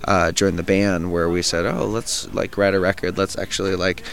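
Low gravelly-voiced young man. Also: level tone, normal speed, fairly bright tone. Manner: rambling, many fillers and restarts. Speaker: lead vocalist and guitarist of a punk band. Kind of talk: casual interview answers.

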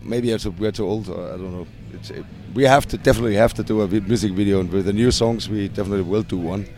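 A man with a German accent, a punk band singer, answering interview questions hesitantly with frequent restarts and soft laughs. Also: fairly low pitch, fast, a little hiss or hum.

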